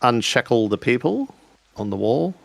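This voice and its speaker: A man improvising narration for a tabletop roleplaying game, speaking in a halting, deliberate way. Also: mid-range voice, very animated, creaky, dry recording.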